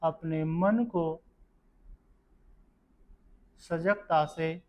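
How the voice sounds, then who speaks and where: A man guiding a meditation session in a calm, measured, instructional manner. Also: a little high, muffled recording. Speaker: yoga nidra meditation guide. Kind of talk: guided meditation narration.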